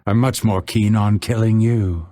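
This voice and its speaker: A man voicing a cold, proud assassin in a video game, sardonic and impatient, with a gravelly, husky, theatrical and clipped delivery. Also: lowish voice, fairly even intonation, a little slow, warm tone, lightly acted. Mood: dry, confident. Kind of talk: video game character voice lines.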